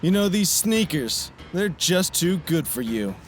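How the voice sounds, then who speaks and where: A man's voice: high voice, sing-song, measured pace. A man playing a cocky, taunting young street thug in an anime-style audio drama, with a sneering manner.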